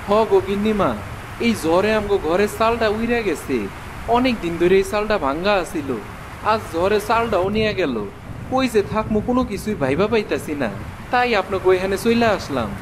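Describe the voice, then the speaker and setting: A man's voice: high-pitched, animated, fairly quick, background noise. A man playing a poor, weary village husband in a cartoon, speaking plaintive, humble rural Bangladeshi Bengali. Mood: pleading. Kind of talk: cartoon dialogue acting.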